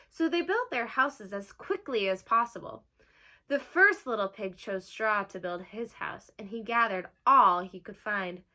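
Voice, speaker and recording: A woman teacher reading a storybook aloud to young children, pausing to explain simply and ask them questions. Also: medium pitch, bright, forceful tone. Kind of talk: children's storybook read-aloud with asides to listeners.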